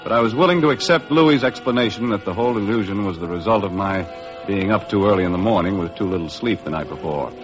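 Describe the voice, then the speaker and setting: A man's voice: on the low side, lively intonation, quick pace, lightly acted, poor audio. An actor playing a wry, tough American boat skipper who narrates his own adventure in a 1950s radio drama. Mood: wry narrating. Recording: vintage radio recording with music.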